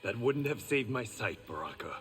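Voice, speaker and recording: Man acting a blind warrior, stoic, proud, animated intonation, long reverb, video game fight dialogue. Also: mid-range voice, normal speed, loud music behind the voice, close to an everyday voice. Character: proud blind Japanese swordsman, defiant and stoic. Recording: echoey hall, loud background noise, clicks.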